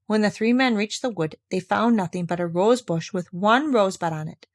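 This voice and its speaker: A woman reading a fairy tale aloud as scripted narration, in a measured, expressive storytelling manner. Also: medium pitch, dry recording, studio quality.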